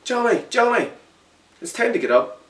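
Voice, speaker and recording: Scolding mother, put on by a man, raised high pitch, distant roomy sound. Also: very animated, moderate pace. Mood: chivvying. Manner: scolding, chivvying. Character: nagging working-class Belfast mother.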